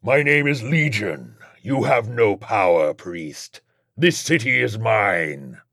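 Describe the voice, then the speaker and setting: Demon villain for an audiobook: booming, boastful, cruel, dry studio sound. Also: male voice, lively intonation, vocal fry, fairly bright tone, dry recording, heavily acted. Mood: menacing boasting. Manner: slow, taunting, drawn-out delivery. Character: boastful, sadistic demon possessing a city.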